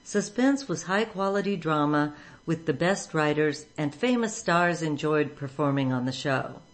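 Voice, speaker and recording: A woman's voice: sits low, animated, unhurried. A woman giving scripted narration as the host of a radio show.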